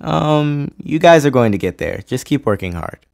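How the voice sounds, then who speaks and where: A man's voice: mid-range voice, big pitch swings, normal speed, creaky, music in the background. A teenage boy, a student, giving casual answers in an interview. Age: teens.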